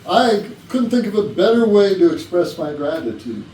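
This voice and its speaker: An actor playing a self-important, demanding company boss in a comedy play reading, with an authoritative speechifying manner. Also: male voice, sits high, sing-song, moderate pace, a little mellow. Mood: jovial, official. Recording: distant, echoey room with faint noise.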